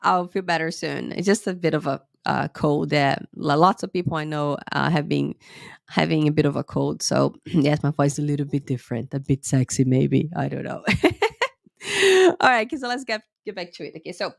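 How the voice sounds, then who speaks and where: A woman teacher talks through a live-streamed lesson from close to the mic, in a dry room with little echo. She has a slight cold and a sore throat, so she talks a little slowly. She keeps checking in with her viewers. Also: low-pitched, animated, vocal fry. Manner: chatty, lots of "okay?" checks with viewers. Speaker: English teacher and IELTS tutor.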